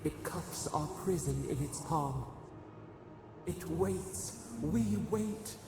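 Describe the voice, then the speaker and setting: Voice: medium pitch, gravelly, noisy background. An actor voicing an imprisoned ancient spirit that speaks as many, solemn and cryptic, in a video game cutscene. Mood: urgent, beckoning. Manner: slow, cryptic, solemn short phrases. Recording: long cavernous reverb, music behind. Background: music.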